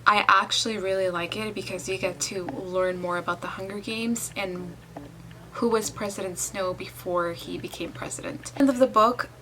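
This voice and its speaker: A woman's voice: mid-range voice, fairly even intonation, moderate pace, noisy background. A young woman talking casually in a book vlog, chatty and candid, reacting aloud as she reads. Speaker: book vlogger. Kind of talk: casual reading vlog talk.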